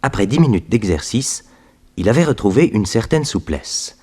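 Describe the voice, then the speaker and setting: A man's voice: fairly low pitch, big pitch swings, moderate pace, a little mellow, slightly rough. A man narrating a 1960s French radio detective serial in a dramatic scripted storytelling style. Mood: measured, suspenseful.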